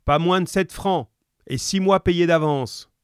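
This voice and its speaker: A man's voice: high-pitched, unhurried, smooth, no echo, studio quality, pitched above the performer's own voice. A man voicing a grasping, curt innkeeper who calls out his demands bluntly, in an audiobook. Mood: curt, demanding.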